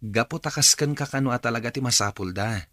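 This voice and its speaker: An actor plays a casual, helpful brother-in-law in a radio drama. His bright, dry-sounding voice has a northern Philippine accent. He talks in a persuasive, conversational way. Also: medium pitch, fast, no room sound, natural voice. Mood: encouraging.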